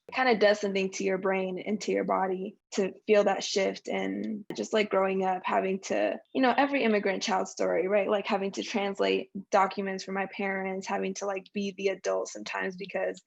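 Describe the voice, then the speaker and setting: A woman's voice: medium pitch, vocal fry, muffled recording. A young woman, chatting reflectively and informally as a podcast guest, saying "like" often. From San Francisco Bay Area, US, born in Colombia. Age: young adult. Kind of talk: casual interview conversation.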